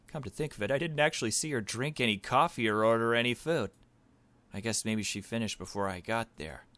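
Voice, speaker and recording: A man's voice: medium pitch, a little fast, a bit bright, dry recording. A man hosting a gaming video, reading visual novel narration aloud and adding his own commentary.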